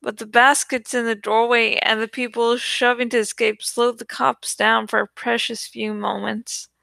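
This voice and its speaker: A woman's voice: fairly high pitch, little pitch movement, normal speed, gravelly, pushed and bright, dry recording. A woman reading a young adult adventure novel aloud as dramatic, suspenseful narration. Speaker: audiobook reader.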